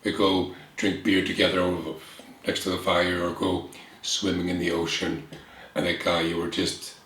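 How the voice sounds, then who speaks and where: A man in his thirties with an Icelandic accent, speaking as an interview guest in an earnest, reflective way, in short phrases with pauses. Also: deep voice, flat delivery, a bit bright. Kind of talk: candid conversation. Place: room with bookshelves and a window, at a table. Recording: echoey room with faint hiss.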